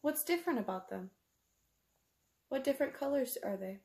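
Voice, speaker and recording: A woman's voice: high-pitched, animated, moderate pace, gravelly, darkish tone. A woman, reading a picture book aloud like a teacher, explaining simply to young children and asking them questions. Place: small room at home, indoors.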